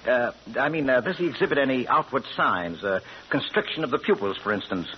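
Male actor as cultured gentleman criminal-doctor, unflappable, pompous, very animated delivery; dull vintage broadcast with faint noise. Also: mid-range voice, brisk, lightly acted. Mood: bluffing, inquisitive. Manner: precise educated diction, hesitant, politely pompous. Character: urbane scholarly doctor turned amateur burglar, curious and unflappable. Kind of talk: 1940s radio drama acting. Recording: muffled old radio broadcast, faint noise.

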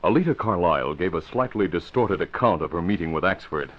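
A man's voice: low-pitched, animated, fairly quick. A man narrating an old-time radio drama in a scripted announcer style. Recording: dull vintage radio recording with music. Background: music.

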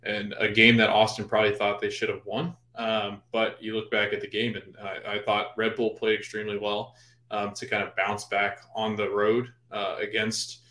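Lowish guy rambling about refs and games on a podcast, echoey room. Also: monotone, moderate pace, pushed and bright. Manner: rambling, full of fillers and restarts. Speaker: podcast co-host. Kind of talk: casual sports chat. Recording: roomy echo.

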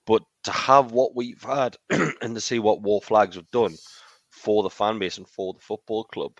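A man's voice: low voice, no room sound. A man hosting a football podcast in a rambling, casual way, laughing easily. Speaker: podcast host. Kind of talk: casual chat.

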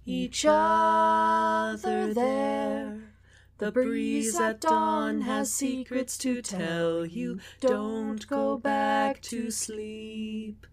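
A woman sings a gentle lullaby in a fairly high voice, drawing the words out very slowly. Music plays loudly beneath her. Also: expressive. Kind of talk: singing a gentle lullaby-like song. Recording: loud music under the voice. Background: loud music.

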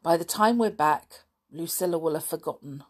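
A woman's voice: sits low, moderate pace. A woman voicing a plucky, carefree circus boy with breezy, informal speech in a children's adventure story.

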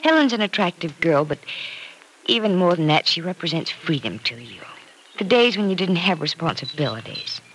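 A woman's voice: on the low side, very animated, normal speed, a bit bright, poor audio. An actress in an old-time radio drama playing a sensible, sympathetic woman friend who probes and reasons earnestly as she gives advice. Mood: earnest, advising. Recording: vintage radio recording, faint noise.

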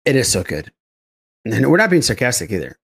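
A man's voice: mid-range voice, sing-song, a little fast, a bit bright, breathy edge. An enthusiastic man hosting a casual podcast chat, repeating himself for emphasis. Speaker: podcast host.

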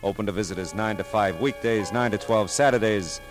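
A low-voiced, hard-boiled private eye, played by a man, dry and sardonic. The delivery is clipped 1940s radio detective acting, with music behind it. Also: fairly quick, natural voice. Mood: wry, brisk. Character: wry, hard-boiled male private detective narrating his case.

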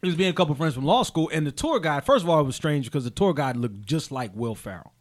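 A man's voice: fairly high pitch, fast talker. A young man hosting a casual chat, telling stories and acting out the people in them with different voices. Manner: animated, acts out quotes in different voices. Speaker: podcast host.

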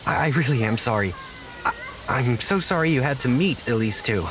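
An actor playing an earnest, insecure young man in an audio drama, speaking softly and haltingly with an apologetic manner. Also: mid-range voice, animated, noisy background, natural voice. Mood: sincere, sad. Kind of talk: naturalistic audio drama dialogue.

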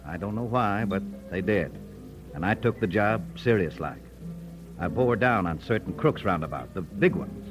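An actor playing a wry, defiant American frontier editor with a folksy manner in an old radio Western. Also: male voice, on the low side, animated, moderate pace, music in the background, close to an everyday voice. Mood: folksy recounting. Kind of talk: old-time radio Western drama acting.

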